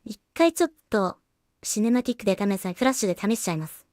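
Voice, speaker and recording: A woman's voice: mid-range voice, very animated, fast talker, a little mellow, no echo, clean studio sound. A woman giving casual work-along commentary as a solo creator, half talking to herself in short, trailing remarks. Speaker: solo animation creator and streamer.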